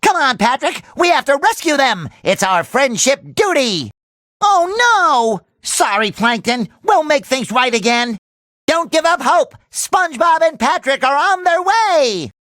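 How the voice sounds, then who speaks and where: A man voicing a cheerful, naive young talking sponge in a cartoon video game, with a squeaky, nasal, exaggerated delivery. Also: very animated, measured pace, sharp tone, no echo, studio quality, exaggerated voice. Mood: reassuring. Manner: exaggerated, earnest, eager delivery.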